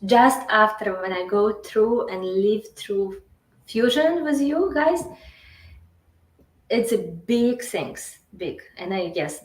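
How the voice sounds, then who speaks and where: A woman from Ukraine speaking halting, non-native English with many fillers, as a guest in a casual interview. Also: medium pitch, echoey room, poor audio.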